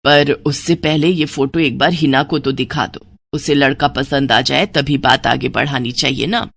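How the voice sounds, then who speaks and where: Woman acting a low-voiced grumbling middle-aged mother. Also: fairly even intonation, unhurried, gravelly, fairly bright tone, breathy edge, full character voice. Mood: calm, considering. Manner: scolding, sarcastic.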